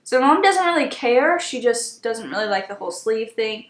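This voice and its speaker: A young woman in her twenties chats through a story time while doing her makeup, her voice sitting a little high. She sounds emphatic and exasperated, and the room around her rings with a noticeable echo. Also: expressive, moderate pace. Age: 20s. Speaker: beauty vlogger. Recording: echoey room.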